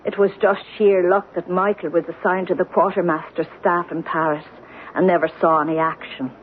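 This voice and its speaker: A woman's voice: fairly low pitch, level tone, normal speed, a little hiss or hum, lightly acted. Actress as brooding Irishwoman on tinny old radio. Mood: somber narration. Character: older Irishwoman, blunt, brooding, recounting her sister's past. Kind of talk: old-time radio drama acting.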